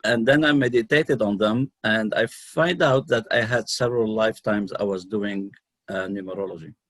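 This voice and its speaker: A middle-aged man from Lebanon with a Lebanese accent, telling his own story as an interview guest, unscripted and halting, often pausing mid-sentence. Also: fairly low pitch, a bit bright, no room sound. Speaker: guest, numerologist and healer.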